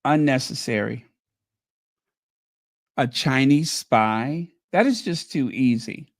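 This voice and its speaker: A man hosting a casual livestream, musing aloud and chatting with viewers, with many pauses and ums, while saying he is losing his voice. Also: medium pitch, animated, no room sound, studio quality. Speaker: channel host.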